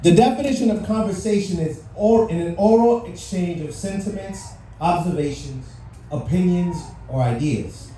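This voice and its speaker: Guy from haiti, native french speaker, giving a motivational talk, soft mellow tone, really echoey and far from the mic. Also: sits high, normal speed. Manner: engages listeners with questions and playful asides. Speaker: guest speaker at a civic event. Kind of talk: motivational speech to a live audience. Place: large echoey venue with live audience. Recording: echoey venue, distant mic, faint noise.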